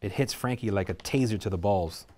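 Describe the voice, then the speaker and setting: A man reading the stage directions aloud at a script table reading, steady and measured. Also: lowish voice.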